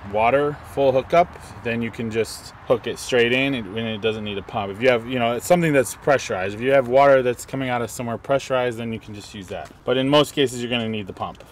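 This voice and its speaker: A man's voice: medium pitch, fast, hardly any pauses. Middle-aged man, casual step-by-step demo, outdoors in the woods, camera mic at a distance, faint background noise. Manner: easygoing, explains step by step while handling gear. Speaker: camping vlogger. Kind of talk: casual product demonstration. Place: outdoors in sunny woods by a vehicle.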